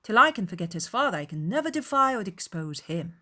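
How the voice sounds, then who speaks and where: A woman voicing an aggrieved young gentleman in a dramatized audiobook, confiding and self-justifying, with measured, earnest, formal delivery. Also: medium pitch, very animated.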